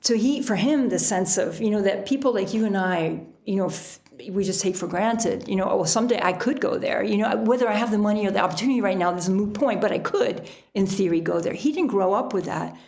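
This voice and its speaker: A middle-aged American woman answers interview questions in a conversational way. Her mid-pitched voice has a noticeable creak, and she peppers her explanations with "you know.". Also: fairly quick, few pauses, a little mellow. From United States. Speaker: podcast guest, author and sailor.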